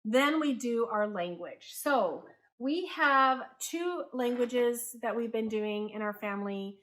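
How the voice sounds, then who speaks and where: Chatty homeschooling mom. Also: female voice, on the high side, slow, fairly bright tone. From Taiwan. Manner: chatty, corrects herself mid-sentence. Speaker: homeschooling parent and channel host. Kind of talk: casual talk to camera.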